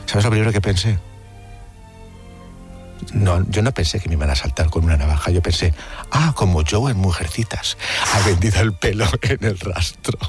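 A man's voice: deep voice, normal speed, pauses often. A man chatting about memories in a reflective, confiding storytelling manner on radio. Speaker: radio film contributor. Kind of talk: conversational anecdotes about cinema. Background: background music.

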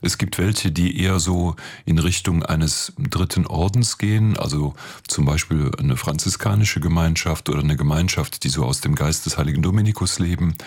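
A man's voice: rumbling low, moderate pace, darkish tone, breathy edge. A man, a clergyman, explaining things in an interview in a plain-spoken, colloquial way.

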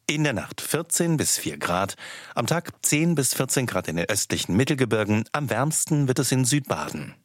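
A man's voice: fairly low pitch, no echo, clean studio sound. A man reading a scripted weather forecast in standard German.

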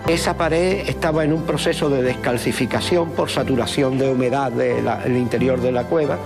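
A man with a somewhat raised voice explains his research in an interview, carefully and with small restarts. Music plays clearly under his words. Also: a little high. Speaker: scientific adviser.

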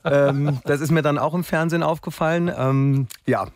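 A man in his forties from Berlin, a singer chatting in a relaxed, casual interview with many fillers. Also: fairly high pitch, moderate pace, no echo. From Berlin, Germany. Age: 40s.

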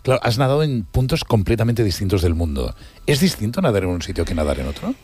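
A man's voice: on the low side, very animated, fast talker, slightly rough, dry recording. A male host interviewing a guest, recapping facts and affirming often with short agreements. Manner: warm, affirming, recaps facts, many "claro". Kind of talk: radio-style interview.

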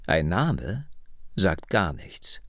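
A male narrator voicing an elderly woman from Ohio who tells her family's story slowly and insistently. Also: deep voice, very animated, no echo, studio quality, voice pushed down, exaggerated voice. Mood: solemn. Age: older.

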